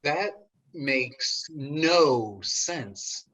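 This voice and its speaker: Guy doing awkward defensive lovesick dude in a play reading, husky, slowish, stammery. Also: on the high side, lively intonation, fairly bright tone, exaggerated voice, poor audio. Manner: hesitant, stammering, indignant. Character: awkward, lovelorn, defensive man, easily exasperated. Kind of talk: play table read. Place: remote video call reading.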